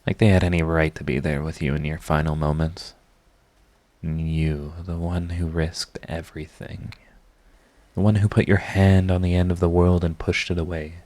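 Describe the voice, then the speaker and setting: A man acting a sardonic supervillain secretly devoted to his rival, in an intimate, theatrical audio roleplay monologue with halting confessions. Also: deep voice, little pitch movement, a little mellow, close to an everyday voice. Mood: tender, grieving.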